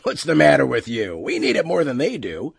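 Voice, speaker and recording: A man acts out a callous male spaceship captain from a science fiction audiobook. He is blunt and dismissive, with animated pitch and a slightly husky tone in a dry recording. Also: fairly quick, dry recording, full character voice. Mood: brash, impatient.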